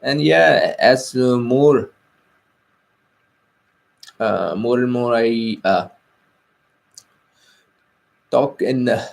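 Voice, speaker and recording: Slow, hesitant Indian man with gravelly voice. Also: mid-range voice. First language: Hindi. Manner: hesitant, many fillers and restarts. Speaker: vlogger. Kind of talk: unscripted personal vlog.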